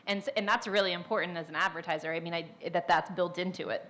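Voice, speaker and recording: A woman on a discussion panel, speaking casually and cutting in with quick remarks. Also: fairly low pitch, fairly even intonation, a bit bright.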